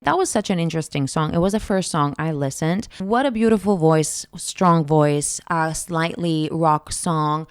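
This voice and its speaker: A woman's voice: sits low, a little slow, few pauses, smooth, faint music behind. A young woman from Greece, a singer herself, chatting casually and expressively as she ranks song contest entries on her vlog. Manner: chatty and expressive, gushing with repeated words. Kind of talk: casual reaction chat.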